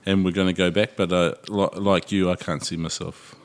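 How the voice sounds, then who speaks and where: An older man from New Zealand, talking in a relaxed podcast chat, hesitant with many fillers and restarts. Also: low voice, normal speed.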